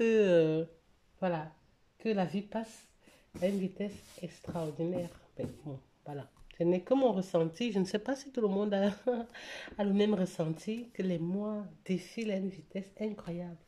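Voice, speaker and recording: A woman's voice: medium pitch, warm tone. A woman vlogging about her weekly budget, talking casually with many hesitations, slightly stuffy with a cold. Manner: hesitant, many fillers and restarts. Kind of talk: casual budget vlog to camera.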